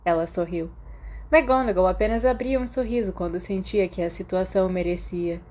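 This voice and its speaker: A woman's voice: on the low side, normal speed, darkish tone, a little hiss or hum. A woman with a Brazilian accent reading scripted fiction as an audiobook narrator. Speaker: podfic narrator.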